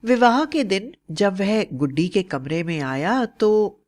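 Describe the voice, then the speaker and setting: A woman's voice: medium pitch, expressive, slow pace, a little mellow. A woman narrator reading a scripted story.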